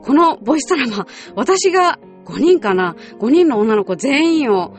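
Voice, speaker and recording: A woman's voice: sits high, big pitch swings, moderate pace. A woman hosting a radio show, talking politely in a scripted style with chatty, casual asides. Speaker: radio show host and voice actress. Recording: music behind the voice. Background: background music.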